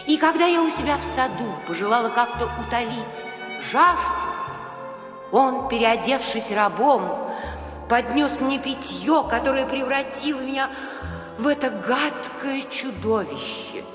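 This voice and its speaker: Sad enchanted owl princess, high voice, very slow solemn delivery, fairy-tale audio play. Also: female voice, animated. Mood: mournful. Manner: solemn, theatrical storytelling. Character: sorrowful enchanted owl princess, young, plaintive and courtly. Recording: echoey reverberant hall, music underneath.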